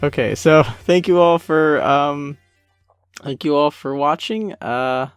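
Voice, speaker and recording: A man's voice: a little high, slow, clear, resonant tone. A young man hosting a casual audio commentary, talking in an informal, amused, chatty way. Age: young adult. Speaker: commentary host, series creator. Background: faint music from the episode.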